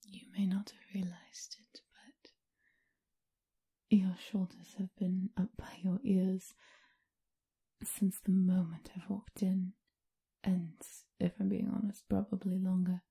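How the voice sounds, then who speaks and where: Slow, breathy vampire mistress, acted by a woman. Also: mid-range voice, level tone, mellow, heavily acted. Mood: soothing, doting. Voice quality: breathy, whispery, husky. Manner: slow, refined, intimate, teasing endearments. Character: ageless female vampire mistress, doting, teasing, refined. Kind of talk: ASMR comfort roleplay.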